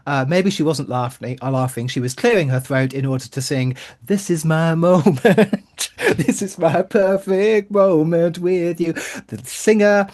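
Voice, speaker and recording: A man's voice: on the high side, measured pace, darkish tone. A man from Britain co-hosting a podcast, chatting casually and cracking jokes. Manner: jokey, chatty, bursts into song. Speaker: podcast co-host. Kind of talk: casual chat.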